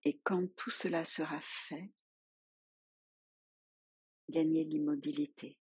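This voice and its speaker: Woman, yoga nidra teacher, very slow pace, soft husky tone, soothing guided meditation, long pauses. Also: deep voice, steady tone, no echo.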